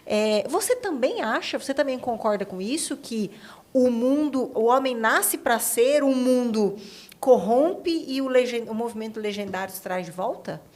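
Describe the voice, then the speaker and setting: A woman's voice: fairly high pitch, lively intonation, moderate pace, a little mellow. A Brazilian woman hosting a conversational podcast interview, speaking emphatically and colloquially and often checking for agreement. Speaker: podcast host.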